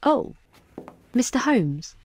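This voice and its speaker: A woman playing a high-voiced, polite businesswoman. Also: big pitch swings, slow, darkish tone, full character voice. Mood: polite, tentative. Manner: polite, formal. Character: Alice Turner, polite, businesslike female client. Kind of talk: audio drama acting.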